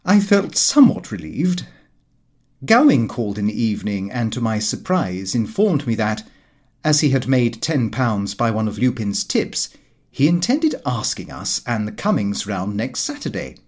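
Audiobook reader: man, expressive scripted narration, highly varied pitch, slightly rough husky tone. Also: normal speed.

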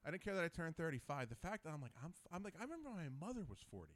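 A man's voice: fairly high pitch, big pitch swings, very fast, dry recording. A man in his forties hosting a casual podcast chat, loose and profane, rambling into stories. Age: 40s. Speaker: podcast host.

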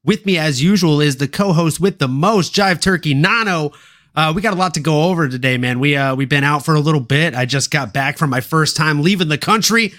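A man's voice: fairly high pitch, a little fast, fairly bright tone. A man hosting a sports podcast in an informal, slangy, showman-like way, talking fight fans through the card. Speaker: podcast host. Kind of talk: upbeat sports podcast talk and fight recap.

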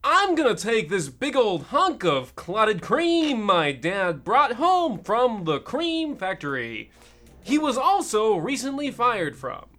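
A man's voice: sits high, wildly swooping pitch, takes it slowly, pauses often, bright, forceful tone, heavily acted. A man playing a young boy bully's loyal henchman in a stagy parody of an old radio drama. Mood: taunting.

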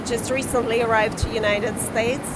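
A woman's voice: on the high side, fairly even intonation, moderate pace. A woman guest telling a work anecdote hesitantly, with many fillers and laughter. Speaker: flight attendant guest. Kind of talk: casual interview anecdote. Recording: noisy busy outdoor setting.

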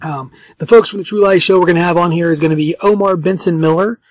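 A man with a raspy voice hosting a podcast in casual chat, halting and often correcting himself. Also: sits high, fairly even intonation, no echo, rough recording. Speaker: podcast host.

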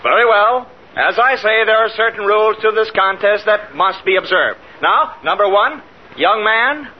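An actor playing an indignant, skeptical children's program host, formal and sarcastic, in a 1950s radio comedy. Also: male voice, high voice, lively intonation, moderate pace. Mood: dryly skeptical. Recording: muffled, very noisy old radio recording, loud audience.

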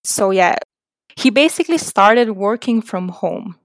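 A woman's voice: medium pitch, expressive, measured pace, no room sound. A young woman from Slovenia, a native Slovenian speaker, chatting casually as a podcast guest. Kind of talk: casual chat.

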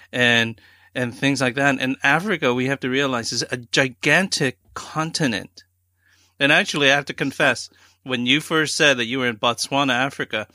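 A man's voice: mid-range voice, pushed and bright, no room sound, studio quality. A man hosting a podcast, chatting enthusiastically with his guest. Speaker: podcast host. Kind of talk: podcast interview.

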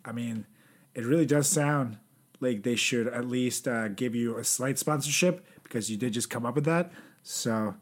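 A man's voice: mid-range voice, moderate pace. A man co-hosting a comedy podcast, teasing his partner and riffing on absurd jokes. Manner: teasing, riffs with absurd bits. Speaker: podcast co-host. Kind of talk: casual comedic chat.

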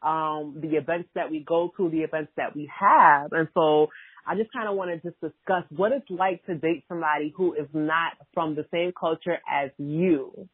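A woman's voice: deep voice, normal speed, slightly rough, no room sound. A woman hosting a relationship podcast, chatting casually with many fillers and easy laughs. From Ghana (family), raised in America. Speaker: podcast host. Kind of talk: casual chat. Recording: dry thin phone-like sound.

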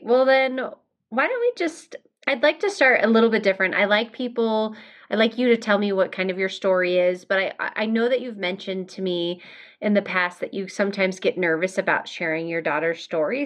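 A woman's voice: mid-range voice, a bit bright. A woman with an American accent, hosting a conversational podcast interview. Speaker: podcast host.

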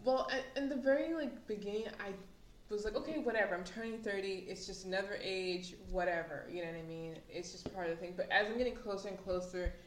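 A woman's voice: medium pitch, fast talker, a little hiss or hum. Rambling woman in her late twenties. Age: late 20s. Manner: rambling, lots of fillers. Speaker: podcast co-host. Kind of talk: casual chat. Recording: echoey room, faint ambience.

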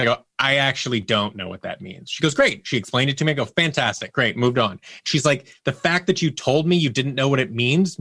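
A man's voice: mid-range voice, pushed and bright, no room sound, studio quality. Man telling anecdotes in a casual interview. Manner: acts out remembered dialogue in anecdotes. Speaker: podcast guest, audio producer and actor.

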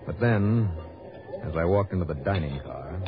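Deep-voiced wry private investigator. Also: male voice, animated, moderate pace, a crowd in the background. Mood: wry, narrating. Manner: dry, easygoing storytelling. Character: cool, wry male private investigator narrating his case. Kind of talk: 1950s-60s radio drama narration and acting. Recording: dull old radio recording, music behind.

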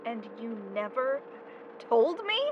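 A woman acts a betrayed young woman in an audio drama, biting and sarcastic. Her high voice swings widely in pitch, over clear vehicle noise. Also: big pitch swings, slow. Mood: wary, stunned. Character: Rhea, hurt, sharp-tongued young woman feeling betrayed. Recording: dull, noisy lo-fi recording.

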